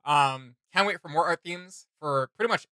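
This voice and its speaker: A young man hosting a reaction video, chatting casually in an animated, rambling, slangy way. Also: a little high, normal speed, clear, resonant tone, dry recording. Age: young adult. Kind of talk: casual enthusiastic reaction chat. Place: small indoor room with desk and shelves.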